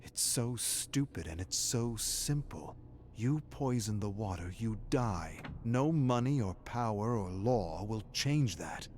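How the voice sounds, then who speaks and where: A man reading a scripted story as a dramatic narrator, grave and deliberate. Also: on the low side, lively intonation, darkish tone, slight background noise. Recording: music playing behind the narration. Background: background music.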